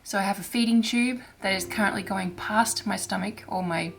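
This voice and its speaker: Hoarse, raspy-voiced woman vlogger. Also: mid-range voice, faint music behind. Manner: halting, soft, with frequent restarts. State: hoarse, raspy from throat irritation. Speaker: cross-stitch vlogger. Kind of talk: casual vlog chat.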